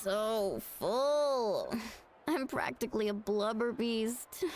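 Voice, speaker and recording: A woman voicing a lively, easygoing young female video game character in an expressive, playful way. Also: on the high side, takes it slowly, slight background noise.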